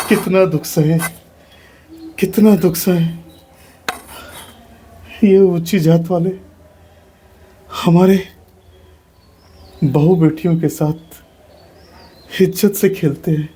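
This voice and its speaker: A man's voice: a little high, extremely slow, pauses often, warm tone, slight background noise. An actor playing a downtrodden laboring man who begs not to be beaten and cries out to God, in a repetitive, wailing delivery. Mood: lamenting. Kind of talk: stage monologue acting.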